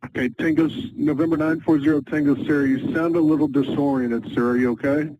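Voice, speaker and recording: A man speaks as an air traffic controller over aviation radio, calm and methodical. His delivery is fairly even, with little swing in pitch. The transmission is muffled and carries faint noise. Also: quick pace. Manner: calm, procedural, repeats instructions clearly.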